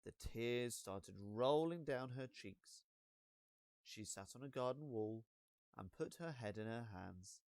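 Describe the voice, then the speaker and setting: A man reading a children's storybook as an expressive narrator, with deliberate dramatic pauses and drawn-out words. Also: mid-range voice, dry recording.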